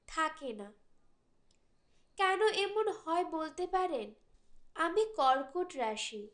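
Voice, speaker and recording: High-pitched, expressive woman narrator. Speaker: audiobook narrator. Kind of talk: dramatic literary short-story narration.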